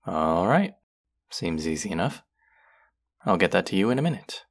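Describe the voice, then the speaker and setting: A man's voice: sits low, very animated, normal speed, exaggerated voice. A man voicing a friendly, teasing young male barista in an audio roleplay, chatty and playful toward a regular customer.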